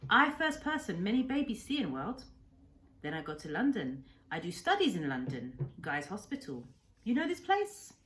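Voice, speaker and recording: Lady doing old japanese island doctor from a kids book, gentle soul, broken english, really up and down, earnest. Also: mid-range voice, very animated, a little hiss or hum, voice pushed up. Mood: proud, fond. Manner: halting simplified English, earnest emphatic short sentences. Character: kindly old Japanese castaway doctor telling his life.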